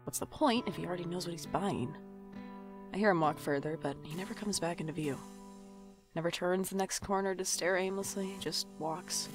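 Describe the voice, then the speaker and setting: A woman's voice: lowish voice, normal speed, natural voice. A woman playing a wry, jumpy night-shift shop worker who narrates an audio drama in a dry, sardonic way. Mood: brooding narration. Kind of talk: audio drama acting with first-person noir narration. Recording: music bed behind the voice.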